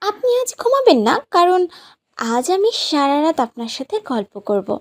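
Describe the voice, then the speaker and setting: Casting: actress as teasing, bossy young bride for romantic drama; high pitch, lively swoops, insistent. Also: female voice, normal speed. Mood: playful, insistent. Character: playful, demanding young new bride, sweetly bossy. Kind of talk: romantic audio story acting.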